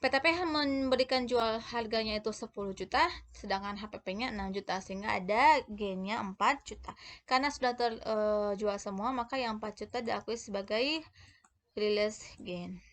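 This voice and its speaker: A woman giving an explanatory lecture, talking informally and haltingly as she corrects herself and works through examples. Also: mid-range voice. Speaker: accounting lecturer.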